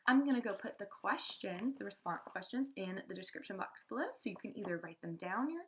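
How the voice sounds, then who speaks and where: Animated woman reading aloud to children. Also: mid-range voice, brisk. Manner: expressive, addresses young listeners directly. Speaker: elementary school teacher reading aloud to students. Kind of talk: children's read-aloud of a picture book with casual asides.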